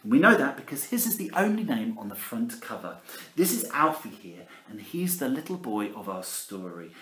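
Very animated Englishman reading a storybook. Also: mid-range voice, moderate pace, slight background noise. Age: middle-aged. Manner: theatrical, exaggerated emphasis, conversational asides. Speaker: primary school teacher reading a storybook. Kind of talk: animated picture-book reading with asides to children. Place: living room at home, indoors. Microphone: camera at about arm's length. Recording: echoey room.

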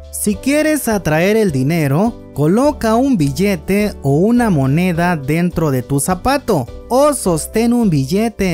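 A woman's slow, scripted voice-over, the kind heard over faint music in an online countdown video. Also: on the low side, darkish tone. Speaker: video narrator. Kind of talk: scripted narration of a list video.

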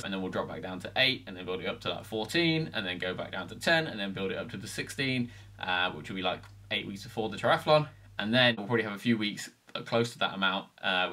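A man's voice: lowish voice, sharp tone. A man, chatting casually and matter-of-factly about his week in a training vlog. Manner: chatty, matter-of-fact, filler-heavy. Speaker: vlogger. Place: home room, voice-over.

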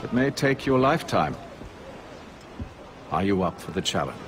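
An actor playing a commanding older male leader who gives orders deliberately. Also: low-pitched, big pitch swings, background noise. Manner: authoritative, deliberate.

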